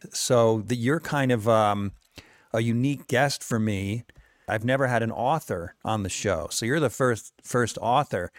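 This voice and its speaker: Enthusiastic podcast host, dry sound. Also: male voice, medium pitch, dry recording. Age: 40s. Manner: effusive, enthusiastic, quick to laugh. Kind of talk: podcast interview.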